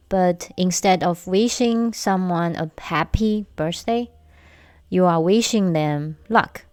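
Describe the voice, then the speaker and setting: A young woman hosts her own podcast, telling personal stories with gentle humor. She talks at an unhurried, deliberate pace in a slightly low voice. The sound is dry, with faint music underneath. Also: smooth, no room sound. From Taiwan. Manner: slow, measured storytelling with light humor. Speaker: podcast host. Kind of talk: casual solo podcast chat.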